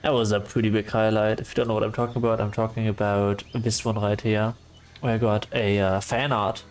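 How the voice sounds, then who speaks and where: A man streaming live and chatting casually with his viewers, soft and calm, often pausing on fillers. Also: lowish voice, a little hiss or hum. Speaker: livestreamer.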